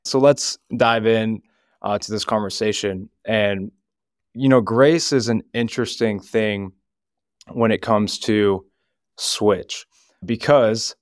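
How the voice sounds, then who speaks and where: A man on a podcast talking about youth ministry, joking at times and speaking earnestly and deliberately, reading scripture aloud now and then. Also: fairly low pitch, clean tone, no echo, studio quality.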